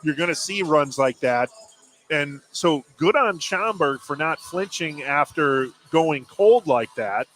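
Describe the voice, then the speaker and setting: A man's voice: on the high side, expressive, takes it slowly, fairly bright tone, poor audio. An American man giving conversational color commentary during a live basketball broadcast.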